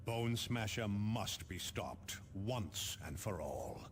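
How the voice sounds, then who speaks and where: An actor playing a grave military officer, giving formal, dramatic mission briefings in a video game. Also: male voice, fairly low pitch, animated, slow pace, noisy background. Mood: grave, resolute.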